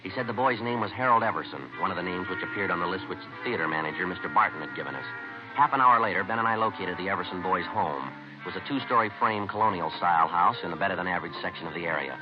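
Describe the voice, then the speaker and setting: A man plays a calm police sergeant with a low American voice. He speaks briskly and dryly, always polite, in clipped radio drama style. The vintage recording is dull with some hiss. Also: music in the background, lightly acted. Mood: flat narration. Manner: deadpan, matter-of-fact, polite. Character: calm, businesslike police sergeant detective, dry and courteous. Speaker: police detective and narrator.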